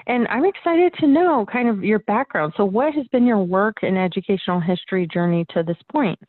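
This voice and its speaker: A woman's voice: medium pitch, expressive, a little fast, no room sound, narrow, tinny audio. A woman hosting a casual interview in an upbeat, affirming, informal manner. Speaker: podcast host, business coach.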